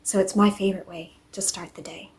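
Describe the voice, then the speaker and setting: A woman narrating a cozy home vlog in a gentle, unhurried way. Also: medium pitch, fairly even intonation, slight background noise. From English-speaking country. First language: English. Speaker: vlogger. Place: home in Norway.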